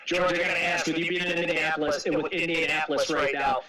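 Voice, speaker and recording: A man's voice: a little high, little pitch movement, fast talker. An American man working as a sales representative, talking in a chatty, accommodating way on a video call as he handles account business and small talk. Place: video conference call from home. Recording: roomy, distant video call with loud chatter.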